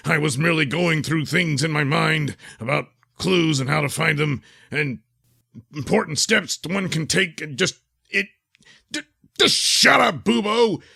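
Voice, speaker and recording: A man's voice: fairly high pitch, vocal fry, pushed and bright, breathy edge, dry recording, clean studio sound, voice pushed up, exaggerated voice. A man playing a pompous, short-tempered bungling superhero, bossy and foul-mouthed, in a comedic radio serial. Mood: exasperated, furious. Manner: self-important, blustering, easily exasperated.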